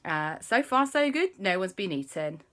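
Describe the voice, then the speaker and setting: A woman playing an excitable, affectionate aunt in a children's story, gushing and exclaiming. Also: medium pitch, moderate pace. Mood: keen.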